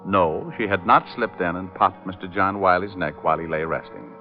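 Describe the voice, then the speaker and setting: An actor playing a wisecracking, breezy private detective, wry and flippant in hardboiled old-time radio narration and dialogue. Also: male voice, deep voice, moderate pace. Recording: muffled, narrow vintage radio recording, music.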